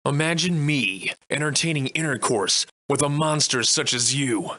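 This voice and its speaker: An actor playing a wry, defiant Victorian-era adventurer and monster slayer in a video game, soliloquizing in florid, old-fashioned diction. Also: male voice, mid-range voice, animated, moderate pace, pushed and bright, dry recording. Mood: menacing, defiant. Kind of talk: theatrical video game voice lines in archaic English.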